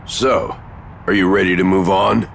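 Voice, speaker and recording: Gravelly, cocky warrior dad. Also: male voice, sits low, steady tone, moderate pace, slight background noise. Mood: taunting, stern. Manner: swaggering, teasing, blunt. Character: cocky, taunting middle-aged warrior father, gruffly affectionate. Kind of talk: fantasy video game voice acting.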